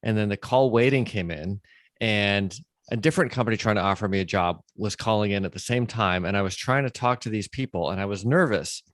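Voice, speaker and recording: A man's voice: on the low side, brisk, dry recording, studio quality. A middle-aged man hosting a podcast interview in a conversational way, recapping points and checking he has understood.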